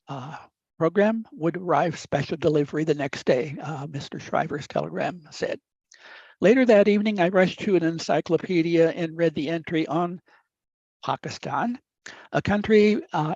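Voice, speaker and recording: A man in his eighties from the upper Midwest of the United States, whose first language is Italian, telling a story from his life in a measured, deliberate way with frequent "uh" fillers. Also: fairly high pitch, a little mellow, no echo. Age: 80s. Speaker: guest speaker. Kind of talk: reflective storytelling talk. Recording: dull dry lo-fi recording.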